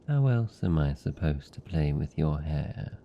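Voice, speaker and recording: A man voicing a lonely, tender and possessive vampire count in a British accent, speaking softly and soothingly in an intimate ASMR roleplay. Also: extremely low pitch, very animated, dull audio, slight background noise, full character voice. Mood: soothing, affectionate. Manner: soft, slow, soothing, lingering pauses.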